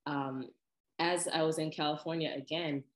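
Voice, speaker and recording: A young woman with a deep voice, chatting casually and telling personal stories to her viewers, with frequent laughs and lively asides. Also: moderate pace, roomy sound. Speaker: vlogger. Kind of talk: casual personal storytelling to camera.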